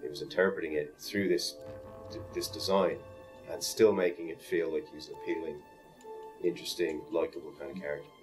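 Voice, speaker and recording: A man's voice: extremely low pitch, steady tone, a little fast, over music. A man giving a behind-the-scenes interview as a member of an animation team.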